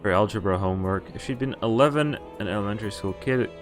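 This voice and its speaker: A man streaming a visual novel, reading the text aloud and breaking off haltingly into muttered casual commentary. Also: fairly low pitch, over music. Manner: halting, often pausing to mutter asides. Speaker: game streamer.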